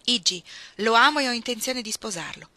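A woman's voice: a little high, lively intonation, brisk, sharp tone, dry recording, pitched above the performer's own voice. A woman voicing a stern but loving young woman in an audiobook, insistent and exasperated. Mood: firm, heated. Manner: insistent, scolding. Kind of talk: audiobook story acting.

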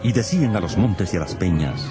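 A man's voice: deep voice, expressive, a little fast. A man narrating dramatized scripture in a solemn, dramatic manner. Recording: loud music behind.

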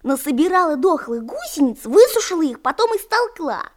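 A woman's voice: high voice, big pitch swings, fairly quick. A woman playing an earnest, studious little schoolgirl in a children's radio play, explaining things confidently and matter-of-factly. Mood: earnest, proud.

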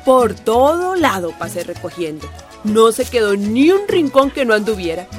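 An actress playing a warm, plucky community woman with a Costa Rican accent, telling her life story chattily in a radio drama. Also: on the high side, sing-song, moderate pace, faint music behind. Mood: warm, proud. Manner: chatty, folksy, emphatic.

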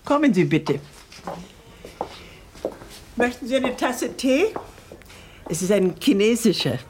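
A woman playing a courteous, habit-bound elderly retiree giving witness in a radio drama, hesitant and deliberate. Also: a little high, big pitch swings, a little mellow, slight background noise. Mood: polite, hospitable. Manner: polite, hesitant, deliberate storytelling.

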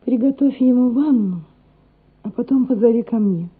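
An actress playing a loving, anguished gentry mother in a radio play, earnest, measured and emotional. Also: a little high, husky. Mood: brisk, concerned. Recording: muffled, phone-like radio play recording.

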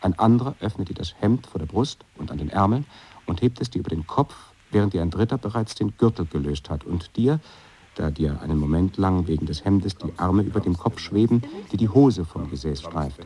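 A deep, dark, trembling figure. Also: male voice, lively intonation, a little fast, slightly rough, heavily acted. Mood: instructing. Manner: subdued, trembling and muttered. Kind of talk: experimental radio play acting.

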